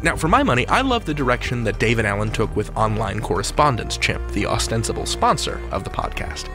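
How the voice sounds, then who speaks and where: A man with a lively, swinging mid-range voice hosts a scripted countdown podcast. He is playful and wry, with faint music. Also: medium pitch, fairly quick. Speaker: podcast host.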